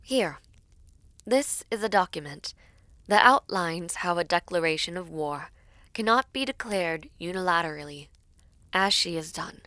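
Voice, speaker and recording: A woman playing a composed young princess in a fantasy audio roleplay, speaking in formal, deliberate, stately phrases. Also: fairly low pitch, expressive, pushed and bright, no echo. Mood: measured, authoritative. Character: composed young princess negotiating a peace treaty, regal and measured.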